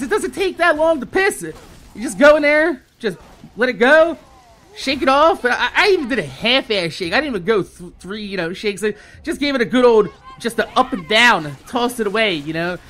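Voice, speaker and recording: A man in his thirties telling a casual, exasperated story with heavy swearing, in the animated manner of a gaming YouTuber. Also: extremely high pitch, normal speed. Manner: animated, heavily profane, exasperated.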